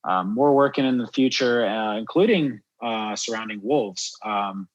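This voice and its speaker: Man, low voice, from Miami, informal, reflective, casual interview guest. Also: expressive, measured pace, highs cut off. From born in France, grew up in Miami, USA. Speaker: podcast guest, wildlife photographer and guide.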